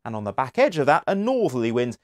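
A man in his forties presenting a scripted TV weather forecast from a studio on a lapel mic, with a measured, clear broadcast delivery. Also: mid-range voice, big pitch swings, no echo. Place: studio in front of weather graphics.

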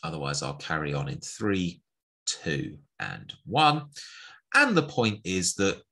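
A man's voice: deep voice, wildly swooping pitch, slow pace, gravelly, sharp tone. A middle-aged man, teaching chess in a chatty, playful way as he calls out the moves one by one. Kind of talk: chess lesson narration over an on-screen board.

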